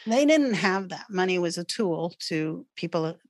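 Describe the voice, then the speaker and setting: A woman guest on a podcast, explaining ideas in an animated way and often checking in with "right?" and "okay.". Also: on the low side, unhurried, no echo, clean studio sound. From North America. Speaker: guest expert on patterns.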